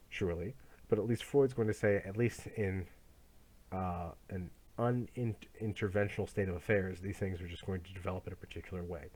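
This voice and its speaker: A man's voice: low voice, a little mellow. A man in his thirties giving a recorded college lecture, talking loosely and conversationally with frequent restarts.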